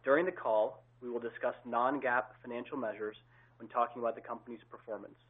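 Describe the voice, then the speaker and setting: A man's voice: medium pitch, fairly even intonation, a little fast, husky. Formal man reading a disclaimer by phone. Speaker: investor relations host. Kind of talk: scripted call opening. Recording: tinny phone line.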